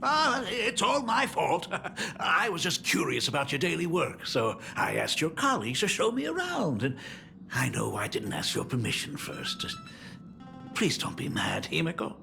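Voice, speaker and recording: An awkward middle-aged dad, gravelly voice, lively pitch, hesitant, game music behind. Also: male voice, moderate pace, exaggerated voice. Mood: apologetic. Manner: bumbling, apologetic, hesitant. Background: game soundtrack music.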